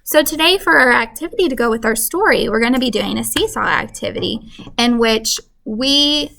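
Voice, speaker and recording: Higher-pitched woman reading a children's story, creaky edge. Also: moderate pace, sharp tone. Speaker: teacher reading aloud. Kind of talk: expressive children's storybook read-aloud.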